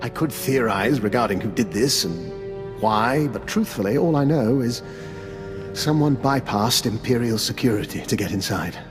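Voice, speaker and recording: An actor playing an urbane, calculating old doctor and veteran spy in a video game, with a refined, courteous, understated manner. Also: male voice, medium pitch, expressive, normal speed, big-room sound, music in the background, lightly acted. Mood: apologetic, concerned. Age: older. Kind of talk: video game dialogue acting.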